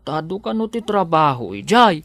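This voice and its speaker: A woman's voice: low voice, big pitch swings, normal speed, fairly bright tone, no echo, heavily acted. A woman voicing a simple, kindly, hesitant farmhand man with an Ilocano accent in a radio drama. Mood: shy, polite. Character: simple, diligent, kindly rural farmhand man, eager, easily flustered.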